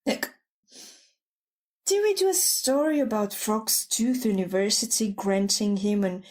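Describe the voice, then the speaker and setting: A woman acting as an unqualified, jaded news anchor in an audio drama. She drags her words very slowly at a middle pitch, muttering offhand, blunt asides. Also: darkish tone, close to an everyday voice. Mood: offhand, dismissive.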